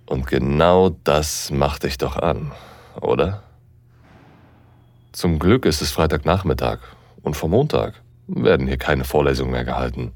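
A man's voice: a bass voice, expressive, measured pace, darkish tone, dry recording, heavily acted. A man playing a seductive, commanding university professor who narrates an intimate audio drama in the first person, sighing between phrases. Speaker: narrator and lead actor.

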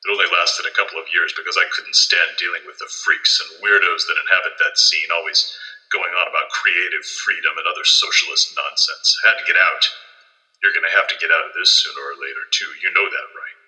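An actor playing a crotchety conservative magazine boss, a gruff, pompous man given to ranting in an audio play. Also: low-pitched, steady tone, fairly quick, bright, forceful tone, rough recording. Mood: gruff, indignant.

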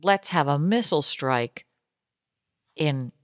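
A woman's voice: deep voice, animated, takes it slowly, dry recording, clean studio sound. A young woman co-hosting a podcast from a basement lounge, chatty and joking, laughing often. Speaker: podcast co-host. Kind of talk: casual chat. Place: home basement tiki lounge.

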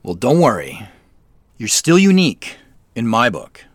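A man's voice: mid-range voice, huge pitch swings, measured pace, no echo. A man playing a casual, probing detective in a naturalistic audio drama, dry and inquisitive. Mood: questioning. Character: dry, inquisitive male detective working a case.

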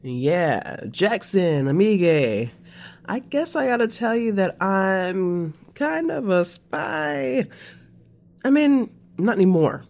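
Slow-talking, remorseful confessed spy. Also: female voice, medium pitch, animated, a little mellow. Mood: sheepish. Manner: code-switches between English and Spanish. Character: remorseful confessing former spy, slangy and earnest. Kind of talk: naturalistic audio drama acting.

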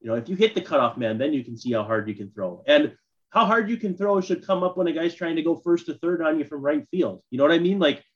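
A man with a fairly high-pitched voice chats casually as a sports podcast co-host. His audio is dull and lo-fi, somewhat muffled. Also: animated, fast talker. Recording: dull, muffled lo-fi microphone sound.

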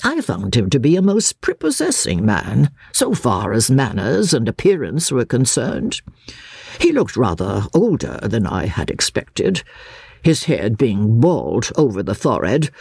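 A man reading classic literature as a dramatic narration, with a measured, formal and theatrical delivery. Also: mid-range voice, vocal fry, a little mellow, husky, no room sound, studio quality. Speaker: audiobook narrator reading as a lawyer.

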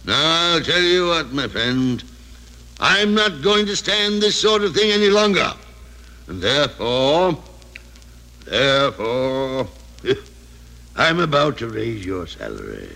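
An actor playing a reformed old miser in old-time radio drama, theatrical, emphatic, gleeful and playfully gruff. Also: male voice, mid-range voice, very animated, slow pace, pauses often, sharp tone, exaggerated voice. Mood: mock stern. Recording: vintage radio broadcast recording.

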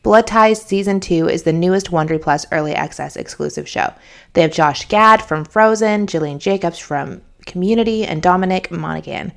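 A woman's voice: fairly low pitch, normal speed, gravelly. A woman hosting a podcast, reading a promo in a chatty, informal way. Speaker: podcast host. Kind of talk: casual host-read promo.

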